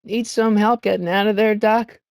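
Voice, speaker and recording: A man's voice: high-pitched, fairly even intonation, moderate pace, creaky, dry recording, clean studio sound. A male actor playing an earnest, wisecracking teenage boy in a video game, with a youthful voice and a hesitant, slangy delivery. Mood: musing, exasperated. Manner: hesitant, stammering asides, wry slangy delivery. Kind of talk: video game character lines.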